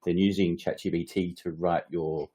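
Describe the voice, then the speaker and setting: A man's voice: sits low, flat delivery, normal speed, warm tone. A middle-aged man co-hosting a casual podcast, ranting with frequent swearing and laughter as he vents about frustrations. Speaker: podcast co-host. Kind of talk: casual chat.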